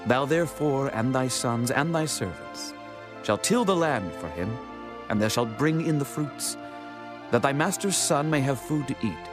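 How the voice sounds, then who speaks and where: A man's voice: mid-range voice, animated, measured pace, close to an everyday voice. A man playing a gracious, commanding king in a dramatized scripture reading, solemn and declarative. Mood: regal, benevolent. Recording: music bed under the voice.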